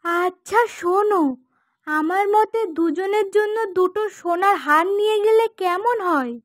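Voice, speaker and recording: A woman's voice: very high-pitched, a little slow, no echo, clean studio sound. A woman voicing a bossy young or married housewife in a cartoon, pointed and questioning in manner. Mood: persuasive. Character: curt daughter-in-law, or bossy persuasive wife. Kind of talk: cartoon dubbing.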